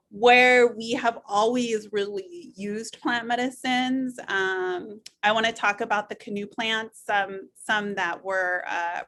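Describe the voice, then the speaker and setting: Middle-aged woman presenter, bright tone, casual and rambling, lots of fillers. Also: medium pitch. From United States (Missouri). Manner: loose and chatty, many fillers and restarts. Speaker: nurse practitioner giving a talk. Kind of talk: webinar presentation with slides.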